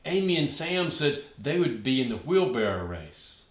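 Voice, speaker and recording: A man narrating a children's storybook aloud in an expressive, emphatic storytelling manner. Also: medium pitch, normal speed, roomy sound.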